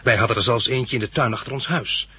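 Earnest, husky, bright-voiced male narrator. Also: mid-range voice, fast talker. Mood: grave recounting. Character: earnest male narrator recalling his studious youth. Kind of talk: 1960s Dutch radio drama narration and dialogue.

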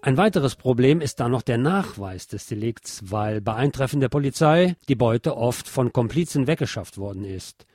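A man's voice: mid-range voice, expressive, normal speed, hardly any pauses, darkish tone, no room sound, clean studio sound. A man hosting a radio programme, reading scripted local news and adding his own commentary.

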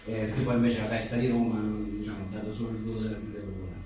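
A man's voice: on the low side, level tone, rapid-fire, dark tone. A man being interviewed as an author, telling informal, digressive anecdotes in a wry way. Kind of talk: informal book talk, rambling anecdotes. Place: echoing indoor venue at a live book presentation. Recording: very echoey room, distant mic, faint noise.

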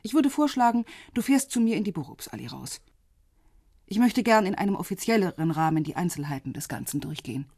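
Low, husky cool policewoman. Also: animated, a little fast, warm tone, no room sound, studio quality. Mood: composed, teasing. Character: cool, businesslike female police intelligence officer, subtly flirtatious. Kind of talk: naturalistic radio drama dialogue.

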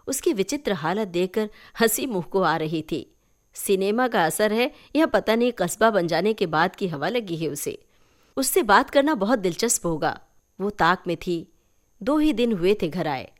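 A woman narrating a short story in an expressive storytelling style. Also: lowish voice. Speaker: story reader.

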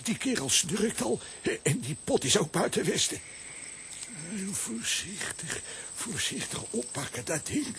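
A sneaky tramp with a high, creaky voice that swings up and down. He mutters greedily and furtively in a children's audio drama, over a clearly hissy recording. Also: fairly bright tone, full character voice. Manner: furtive, muttering, hesitant repetitions. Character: greedy, sneaky male tramp plotting a theft. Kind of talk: children's radio play acting.

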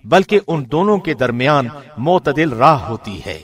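A man reading a scripture translation from a script in a formal, solemn, measured manner. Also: medium pitch, sing-song. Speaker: translation reader, radio host.

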